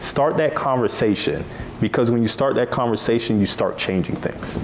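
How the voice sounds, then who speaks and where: A man's voice: mid-range voice, moderate pace. A man on a panel, speaking in an earnest, urging way. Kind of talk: panel talk. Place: event hall. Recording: echoey hall, faint noise.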